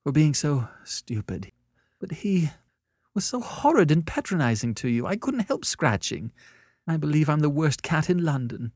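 Man voicing a high-pitched, quick-tempered young woman. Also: sing-song, a little mellow, no room sound, clean studio sound. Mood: miserable, remorseful. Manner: emotional, theatrical, cutting. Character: quick-tempered young woman artist, sharp-tongued but remorseful.

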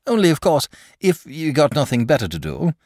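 A man's voice: medium pitch, huge pitch swings, moderate pace, gravelly, dry recording, studio quality, voice pushed up. A man voicing a huge, mild, lazy dragon in a storybook, with a genteel, chatty and sociable manner. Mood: eager, polite. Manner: genteel, chatty, bashful, eager to please.

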